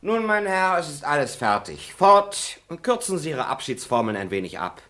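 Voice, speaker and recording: A man's voice: fairly high pitch, very animated, normal speed, sharp tone. A man acting an impudent, grumbling servant in a radio play. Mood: cheeky. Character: cheeky, grumbling male servant.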